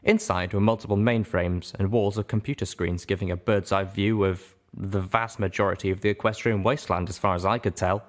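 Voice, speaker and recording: A man reading a story aloud as host, in expressive first-person narration. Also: low voice, a little fast, hardly any pauses. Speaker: audiobook reader and show host.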